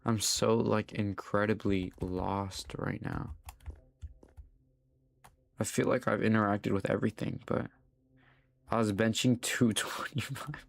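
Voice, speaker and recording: Gravelly low-voiced young man streaming. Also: steady tone, a little slow, pauses often. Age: young adult. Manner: chatty, swears casually, talks to chat. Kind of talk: casual live gaming commentary.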